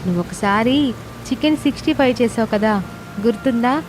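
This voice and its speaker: A woman's voice: on the high side, fairly quick, lightly acted. A chatty young schoolchild played by a woman in a cartoon family tale. The child speaks candidly and eagerly to a parent, with faint rainy noise behind. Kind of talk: Telugu moral-story cartoon voice acting.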